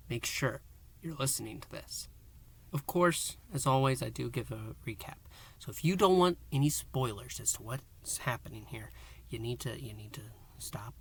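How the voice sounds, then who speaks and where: A man hosting a fan review and recap, speaking in short, halting phrases with theatrical emphasis. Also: mid-range voice, gravelly, pushed and bright. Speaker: host of a fan review show. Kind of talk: review and recap to camera, semi-dramatic storytelling.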